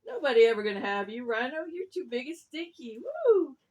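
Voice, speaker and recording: A cocky storybook rooster, played by a woman, high in pitch and full of sass. The bird boasts and taunts in folksy slang, brash and mocking toward everyone. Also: normal speed, lightly acted.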